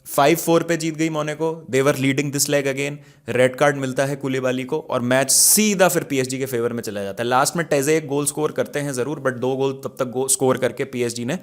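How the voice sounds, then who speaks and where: A man's voice: mid-range voice, steady tone, normal speed, few pauses. A man with an Indian accent talks through football matches as a show host, conversational and opinionated, with emphatic asides. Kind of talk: casual football match analysis, mixing Hindi and English.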